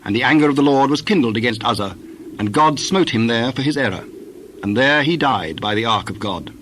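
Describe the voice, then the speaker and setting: Male narrator for dramatized Bible audio; mid-pitched, formal, measured reading with noisy bird-filled ambience behind. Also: expressive, fairly bright tone. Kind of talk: scripted narration of King James scripture.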